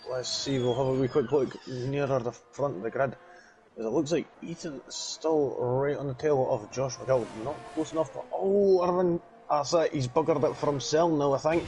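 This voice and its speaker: A man co-commentating a sim race in a casual, chatty way, breaking into excited exclamations when cars go off. His voice sits at an ordinary pitch, and a faint hiss of noise runs under it. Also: normal speed, rough recording. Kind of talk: sim racing color commentary.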